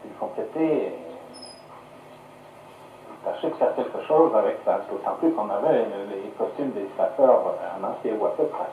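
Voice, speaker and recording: A man's voice: fairly low pitch, phone-quality sound, a little hiss or hum. Guy on old fifties radio, hesitant, hunting for words, echoey and far off. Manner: hesitant, halting, searching for words. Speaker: museum curator being interviewed. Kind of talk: unscripted radio interview answers. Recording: distant, echoey 1950s radio archive.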